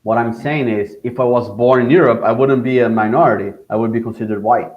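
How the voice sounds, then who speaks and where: A man from South America co-hosting a podcast, arguing his point in a halting, word-repeating way. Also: mid-range voice, fairly even intonation, dull audio. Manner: halting, repeats words, argues. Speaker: podcast co-host. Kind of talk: casual chat.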